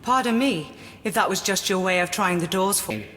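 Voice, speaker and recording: A woman's voice: medium pitch, lively intonation, fairly quick, big-room sound, noisy background, natural voice. An actress playing a cool, wry British woman adventurer in a video game, speaking with dry poise. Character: cool, wry, self-possessed female adventurer. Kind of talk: video game cutscene acting.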